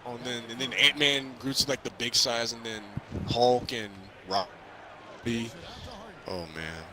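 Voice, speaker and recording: A man casually discussing a film with a friend, halting and repeating phrases as he thinks. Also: mid-range voice, steady tone, noisy background.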